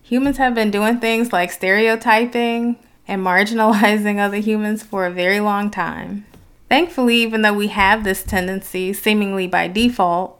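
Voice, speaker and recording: A woman's voice: mid-range voice, fairly bright tone, clean tone. A woman from Detroit with an American accent, hosting a reflective solo podcast in an articulate, conversational way. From Detroit, United States. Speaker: podcast host.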